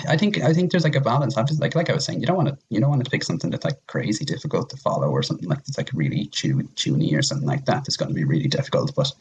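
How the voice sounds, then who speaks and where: A man's voice: lowish voice, slightly rough, lo-fi sound. A man speaking as a podcast guest in a casual discussion of games, rambling and often restarting his phrases. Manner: hesitant, rambling, repeats phrase starts.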